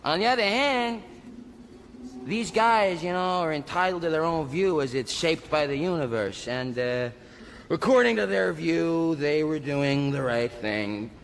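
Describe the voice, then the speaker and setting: A cocky slangy space judge dude, really animated, radio comedy, big echoey hall with some noise. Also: male voice, a little high, a little slow, gravelly. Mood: breezy, swaggering. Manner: slangy American-style hipster patter. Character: brash, laid-back hipster alien judge, slangy and cocky. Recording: long echoing hall, noisy ambience.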